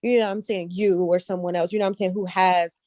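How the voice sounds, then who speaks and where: A woman's voice: lowish voice, steady tone, fast, warm tone, no room sound, clean studio sound. A woman speaking casually as an interview guest, repeating points for emphasis and often checking that the listener follows. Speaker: interview guest, casting director and actress.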